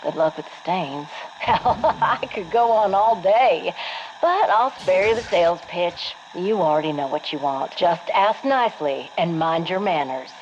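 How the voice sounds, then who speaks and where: An actress playing a brash, folksy Marine quartermaster with an American accent, delivering sassy, salty patter for a game. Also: female voice, fairly low pitch, lively intonation, a little slow. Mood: brash, cocky. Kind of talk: scripted game monologue. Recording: dull, noisy radio log.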